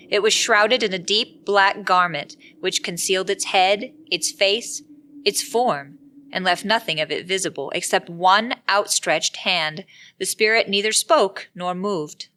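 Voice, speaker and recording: Bright-voiced woman narrating a story. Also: medium pitch, unhurried, slight background noise. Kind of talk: scripted dramatic story narration.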